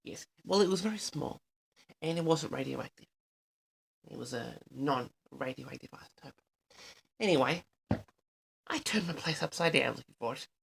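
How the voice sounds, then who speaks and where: A man's voice: mid-range voice, lively intonation, moderate pace, vocal fry, pauses often, a bit bright, a little hiss or hum. A man playing a pompous, easily affronted eccentric who records a comic, theatrical audio diary for his audience. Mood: grumbling, aggrieved. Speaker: audio log host. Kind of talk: comic rambling monologue to an audience.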